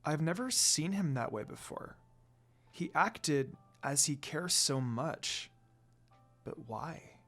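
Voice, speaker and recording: A narrator as an earnest, animated young priestess. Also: medium pitch, slow, faint music behind. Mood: wondering. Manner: earnest, easily flustered. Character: kind, flustered, outspoken young priestess woman.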